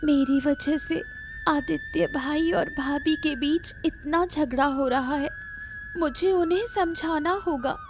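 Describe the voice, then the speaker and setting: A woman voicing a gentle, self-blaming young girl, pleading and distressed, in a Hindi cartoon story. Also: high voice, slow, music in the background. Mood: sad, pleading.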